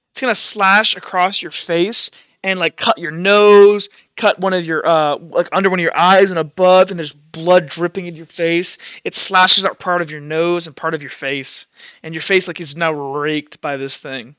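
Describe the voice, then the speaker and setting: A man's voice: high-pitched, sharp tone, clear, resonant tone, no room sound, lo-fi sound. A man acting as game master, mixing casual rules talk with informal, vivid narration of combat in a tabletop roleplaying game.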